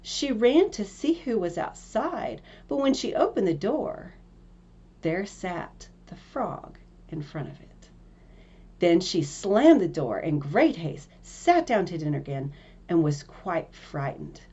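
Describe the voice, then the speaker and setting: A woman's voice: lowish voice, very animated, slow pace, dark tone, husky, dull audio. A woman narrating a fairy tale from a script as a storyteller.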